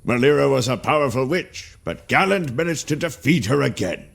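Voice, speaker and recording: A man playing a kindly older storyteller, narrating a video game tale in an expressive, gentle way. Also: medium pitch, normal speed, gravelly. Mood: dramatic storytelling. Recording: long reverb, faint music behind.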